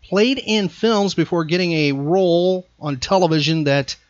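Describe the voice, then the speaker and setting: A man's voice: fairly high pitch, unhurried, no room sound. A man hosting a casual trivia quiz, teasing and emphatic with his contestant. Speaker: podcast host and quizmaster. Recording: close, dry, slightly dull sound.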